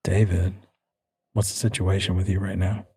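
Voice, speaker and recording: A man's voice: deep voice, level tone, moderate pace, dark tone, slightly rough, no echo, studio quality. A man acting as game master, narrating a tabletop game with dramatic storytelling and casual banter.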